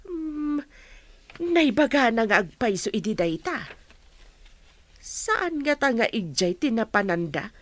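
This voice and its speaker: A woman's voice: on the high side, sing-song, slow, long pauses. A woman playing a cautious, questioning village mother in a radio drama. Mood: concerned. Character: wary village mother advising her family. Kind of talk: Ilocano radio drama acting.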